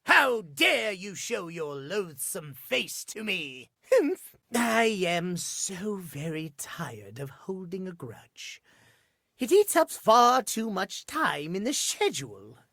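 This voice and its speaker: A prim, punctual clock man from a video game, speaking slowly in formal, old-fashioned phrases. His high voice rises and falls dramatically, fussy and earnest, recorded dry and close. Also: very animated, creaky, pushed and bright, no echo, heavily acted. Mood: indignant, fussy. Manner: formal, precise, theatrical old-fashioned diction. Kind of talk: animated video game character acting.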